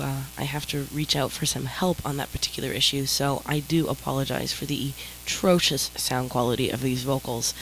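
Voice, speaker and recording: Woman radio DJ, stuffy nose from a cold, low voice, rambling casual chat, static mic with faint hum. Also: rough recording. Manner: rambling, apologetic, self-deprecating. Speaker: radio DJ host. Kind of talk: casual music show chat. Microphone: home mic with static. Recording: static-filled home mic with faint noise.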